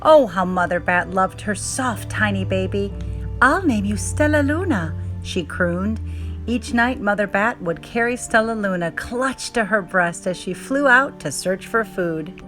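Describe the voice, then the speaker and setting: A woman reading a children's story aloud in expressive narration with dramatic, deliberate emphasis. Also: mid-range voice, faint music behind. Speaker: storybook reader.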